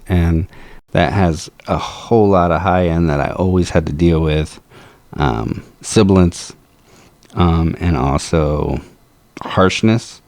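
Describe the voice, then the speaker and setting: Man, deep low voice, slow talker, dry close studio sound, measured technical demo. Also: darkish tone, dry recording. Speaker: video host. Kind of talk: microphone demo talk. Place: home recording studio. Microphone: close to a studio microphone.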